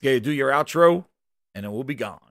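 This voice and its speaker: A man hosting a casual gaming livestream, hoarse and rambling, launching into animated rants. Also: medium pitch, a little fast, no echo, clean studio sound. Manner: animated ranting, loose and rambling. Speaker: gaming podcast host.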